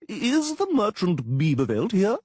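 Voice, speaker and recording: A man's voice: wildly swooping pitch, unhurried, darkish tone, breathy edge, dry recording, exaggerated voice. A man voicing a skinny, pompous male town official who crows and shrieks. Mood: outraged. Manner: crowing, shrill, officious.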